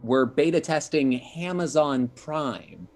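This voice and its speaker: A man's voice: fairly high pitch, sing-song, takes it slowly, darkish tone, slight background noise, heavily acted. A man playing a cluelessly ambitious, freeloading slacker nephew in his twenties in a comedy script read. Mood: breezy, oblivious. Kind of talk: table read of a comedy pilot. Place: remote video call.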